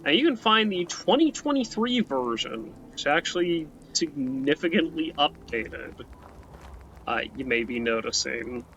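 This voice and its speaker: Man, easygoing let's play host, unhurried and rambling, slightly raised pitch, muffled home recording with faint hiss. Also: very animated, a bit bright. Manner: rambling, frequent "right?" tags and restarts. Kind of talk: casual gameplay commentary.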